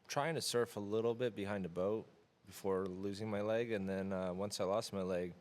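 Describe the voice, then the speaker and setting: A man in his thirties from the Florida Keys, talking casually as an interview guest in a relaxed, rambling way with many fillers. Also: lowish voice, normal speed. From Florida Keys, USA. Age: 30s. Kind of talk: casual conversation.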